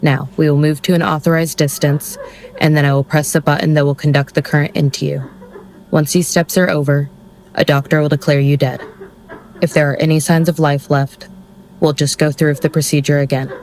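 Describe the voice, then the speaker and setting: An actor playing a curt, by-the-book executioner in an audio drama, formal and deadpan. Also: medium pitch, a little fast, slight background noise, lightly acted. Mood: procedural.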